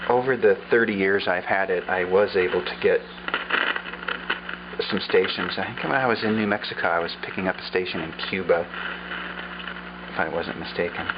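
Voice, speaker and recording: A man with a low, easygoing voice walks through an old radio at a relaxed pace, pausing on fillers as he thinks. The sound is a little dull, with a steady hiss audible behind him. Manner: unhurried, thinking aloud with fillers. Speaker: vlogger showing a vintage radio. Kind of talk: casual show-and-tell demonstration.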